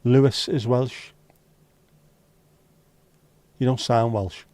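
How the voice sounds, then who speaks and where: A man's voice: medium pitch, steady tone, drawn-out words, a little mellow, no echo. A man hosting a casual livestream, reading out chat messages and teasing viewers with jokey, lightly sweary banter. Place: home livestream setup.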